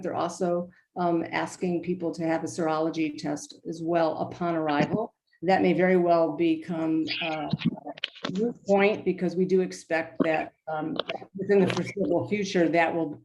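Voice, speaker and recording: A middle-aged woman from Michigan, a travel company CEO, giving an earnest, halting introduction to a virtual tour with many fillers and restarts. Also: lowish voice, warm tone, rough recording. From Michigan, USA. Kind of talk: casual spoken introduction.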